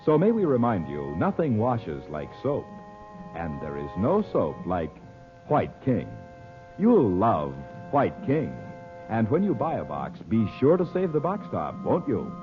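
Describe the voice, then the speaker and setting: A man working as a radio announcer, giving a polished, emphatic 1940s sales pitch. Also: wildly swooping pitch, a little slow. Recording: dull old radio recording with music behind.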